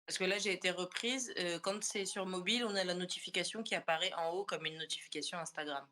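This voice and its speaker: Bright-voiced woman hosting an online chat. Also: fairly low pitch, fairly even intonation, quick pace. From France, Paris suburbs. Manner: thoughtful, searching for words as she goes. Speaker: host. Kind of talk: casual online group discussion.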